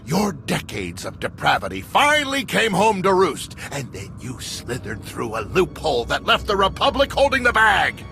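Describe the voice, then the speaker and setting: An actor playing an earnest, easily indignant official in a sci-fi video game, speaking in an urgent, combative way. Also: male voice, high voice, huge pitch swings, moderate pace, creaky, few pauses, bright, forceful tone, faint music behind, full character voice. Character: earnest, indignant male official distrustful of corporate crooks. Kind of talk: video game cutscene acting.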